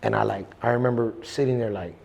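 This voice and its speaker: A man's voice: fairly low pitch, fast talker, vocal fry, darkish tone, long reverb tail. A young man telling his story in a casual interview, rambling with lots of restarts and slang. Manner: rambling, slangy, many restarts and "you know what I'm saying". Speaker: guest.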